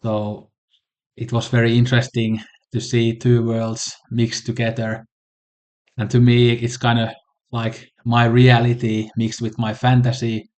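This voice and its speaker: A man's voice: medium pitch, unhurried. A man from Finland with a Finnish accent, casually reviewing films in a conversational way. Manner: relaxed, conversational, with fillers like "kinda" and "like". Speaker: film reviewer.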